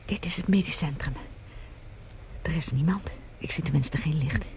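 A woman's voice: deep voice, normal speed, darkish tone, lightly acted. Actress as watchful escaped woman, Mars radio drama, anxious, prone to whispering, light background noise. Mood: nervous. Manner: nervous, often whispering. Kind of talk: science fiction radio drama acting. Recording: noisy scene with background ambience.

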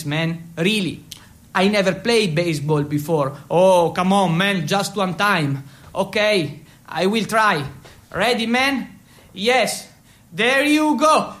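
An actor voicing boisterous teenage boys trading slangy, drawn-out banter in English for a radio play. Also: male voice, extremely high pitch, pitched above the performer's own voice, full character voice. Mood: eager banter. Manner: shouted, drawn-out slangy exchanges.

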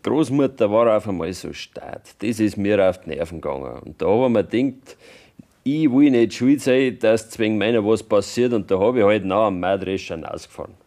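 A man's voice: fairly low pitch, lively intonation, normal speed, dark tone. A man playing a reluctant, reproachful young farmer's son in a radio play, with plain Bavarian dialect speech. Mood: grudging, subdued. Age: young adult. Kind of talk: naturalistic German radio play acting.

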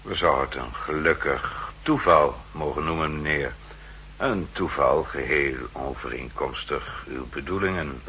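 An actor playing a formal, politely insistent manservant who is a coolly ruthless spy accomplice in a 1960s radio play. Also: male voice, rumbling low, unhurried, sharp tone, heavily acted. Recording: 1960s radio recording, faint hum and noise.

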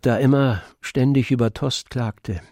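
A man narrating a literary radio play in a measured, composed storytelling manner. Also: mid-range voice, mellow, dry recording, clean studio sound.

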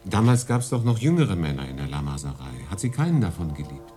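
A man playing a thoughtful, inquisitive gentleman in a radio drama, reflective and polite. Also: low voice, big pitch swings, a little fast, faint music behind. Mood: curious, reflective.

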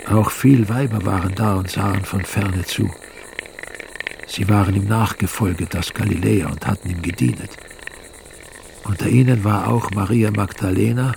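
Grave, gravelly evangelist narrator. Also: male voice, on the low side, noisy background. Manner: grave, measured storytelling. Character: solemn male evangelist narrating the Passion. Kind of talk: scripted narration in a radio drama. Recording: loud music behind the voice.

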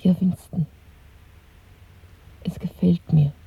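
A woman's voice: lowish voice, steady tone, slow pace, vocal fry, husky, no echo, exaggerated voice. An actress playing a bold, worldly young woman in a radio drama, intimate and flippant with her secret lover. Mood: hushed, delighted. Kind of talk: German radio play acting. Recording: dry, tinny narrow-band radio recording.

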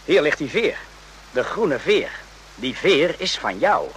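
An actor playing an indignant, defensive soldier in a radio play, forceful, with a raspy, strained voice. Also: male voice, fairly high pitch, big pitch swings, slow pace, a little hiss or hum, exaggerated voice, rough recording. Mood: accusing, bewildered.

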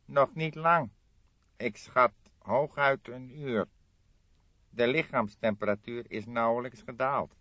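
A man's voice: mid-range voice, slow, pushed and bright, no echo, highs cut off, voice pushed up. A man voicing an old police coroner in an audiobook, laconic and dryly sardonic.

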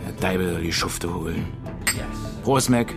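An embittered Berlin working man who drinks hard, in a radio play. He grumbles bluntly in a Berlin accent, proud and stubborn. Music plays clearly behind him. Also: low-pitched, a little slow. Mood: brooding, resentful. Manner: repetitive, brooding, blunt grumbling. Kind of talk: radio drama acting.

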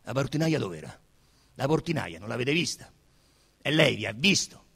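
An actor playing a stern, brooding police inspector who puts blunt, probing questions in a radio drama. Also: male voice, medium pitch, very animated, normal speed, vocal fry, fairly bright tone, slightly rough, in a large hall, heavily acted. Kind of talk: dramatised radio literary reading.

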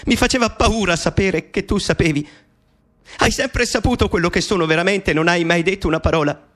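Broken, persecuted math genius pleading with his mom, high voice, bitter and intimate, radio drama. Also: brisk, fairly bright tone. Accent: Italian. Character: anguished, persecuted male mathematician confessing to his mother. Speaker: actor in a radio play.

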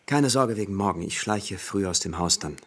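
A man's voice: low voice, expressive, normal speed, a bit bright, husky, heavily acted. An actor playing an earnest, frustrated but obliging bookish young man in a naturalistic radio drama, moving between muttering and emphatic speech.

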